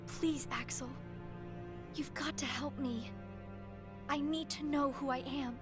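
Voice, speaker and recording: A woman's voice: sits high, fairly even intonation, slow. An actress playing an anguished, pleading teenage girl in a video game cutscene, speaking earnestly. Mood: pleading, insistent. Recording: game music behind the voice.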